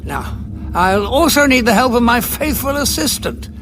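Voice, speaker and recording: A man's voice: very high-pitched, expressive, normal speed, background noise. An actor playing a wily time-travelling adventurer in a sci-fi audio drama, bluffing his enemies with breezy, polite, slightly hesitant patter. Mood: wry, confident. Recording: music bed behind voice.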